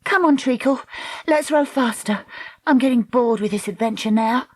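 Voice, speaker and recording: A woman voicing a bold, stern young girl adventurer in a children's story, brisk and commanding. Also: fairly high pitch, no echo, pitched above the performer's own voice, natural voice. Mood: impatient.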